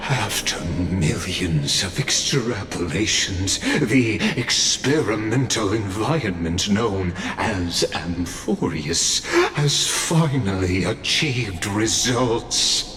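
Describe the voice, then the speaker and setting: An actor voicing an ominous, grandiose male cosmic observer in a game cutscene, speaking slowly and deliberately. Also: on the low side, background noise. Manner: slow, grandiose, deliberate delivery.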